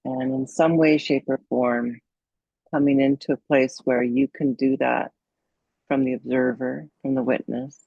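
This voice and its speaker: A woman meditation coach leading a guided meditation in a soothing, unhurried, gently instructive manner with long pauses. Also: a bass voice, flat delivery, creaky, slightly rough, no echo, highs cut off.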